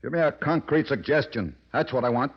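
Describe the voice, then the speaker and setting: An actor playing a gruff, irritable nightclub owner and racketeer in a tough, dismissive style of 1940s radio drama. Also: male voice, mid-range voice, expressive, fairly quick. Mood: scornful, impatient. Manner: tough, dismissive gangster talk. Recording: muffled old radio broadcast.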